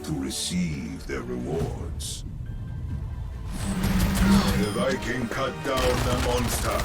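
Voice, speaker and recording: A man narrating a dark fairy tale in a slow, dramatic storytelling manner. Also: low voice. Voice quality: gravelly. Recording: game cutscene with loud music.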